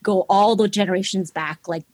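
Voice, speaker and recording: A woman in her forties from Seattle, openly telling her personal faith story as a podcast guest, earnest and quick to laugh. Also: mid-range voice, steady tone, a little mellow, no echo. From Seattle, Washington, USA. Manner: open and earnest, laughs easily, addresses listeners as guys. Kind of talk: candid personal testimony.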